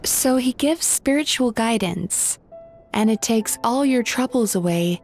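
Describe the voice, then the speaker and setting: A thoughtful young psychologist in a game, with a slightly raised voice. She speaks in a measured, pensive way, weighing each idea. Faint music plays behind her. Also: a little high, animated, darkish tone. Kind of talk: video game voice acting.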